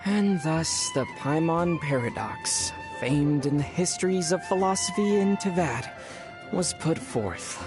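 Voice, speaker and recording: An actor playing a gentle, teasing young male adventurer in a video game, speaking in a measured, wry way. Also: fairly high pitch, expressive. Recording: music behind the voice.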